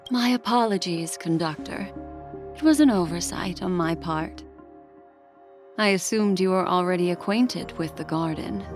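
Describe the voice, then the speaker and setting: A woman's voice: lowish voice, measured pace, close to an everyday voice. A woman voicing a poised, mysterious woman who reads memories, speaking in a polished, courteous, lightly teasing way. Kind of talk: video game voice acting. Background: game music.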